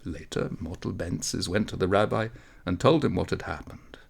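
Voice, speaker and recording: A man reading a literary story aloud in an expressive narration style, with measured, dramatic phrasing. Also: sits low, vocal fry, slightly rough. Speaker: audiobook story reader.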